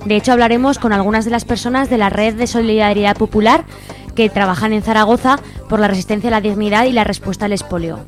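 A woman's voice: medium pitch, fairly even intonation, fast talker. A woman with an accent from Spain co-hosting a live community radio show from a studio. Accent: Spanish from Spain. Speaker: radio co-host. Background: music playing behind.

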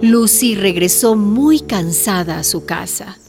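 An actress as the storyteller narrating a scripted radio drama. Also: female voice, mid-range voice, slow pace, over music, close to an everyday voice. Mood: measured storytelling. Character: storyteller narrator of a village tale.

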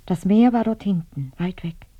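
A woman's voice: medium pitch, expressive, normal speed, dark tone, close to an everyday voice. An actress in a radio play playing a grieving, dreamy woman lost in sensual memory, speaking intimately and breathily, often close to a whisper. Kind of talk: radio drama monologue.

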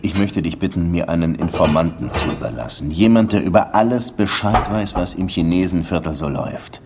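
A man playing a weary, gruff police detective who narrates his own case in a brooding, understated way in a German radio drama. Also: sits low, a little fast, noisy background. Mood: grumpy, weary. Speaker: lead actor and first-person narrator. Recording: music under the voice. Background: music.

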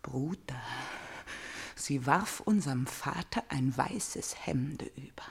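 An actress playing a tormented, vengeful princess in a German radio drama, theatrical and moving between breathy whispers and fierce outcry. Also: female voice, very deep voice, lively intonation, slow pace, heavily acted. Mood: hushed, bitter. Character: tormented, vengeful princess, fevered and obsessive, grieving her father.

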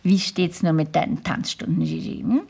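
Older society great-aunt, imperious, elegant, exacting, instructive, 1970s radio play. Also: female voice, lowish voice, normal speed, vocal fry, dark tone. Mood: brisk, instructing.

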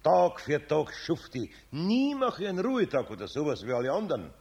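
Husky older Bavarian craftsman. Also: a little high, wildly swooping pitch, a little fast, a little mellow, dry recording, full character voice. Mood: cheerful, longing. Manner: homely, hearty, grumbling good-naturedly. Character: good-natured, grumbling older Bavarian master craftsman. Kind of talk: 1970s German children's radio play.

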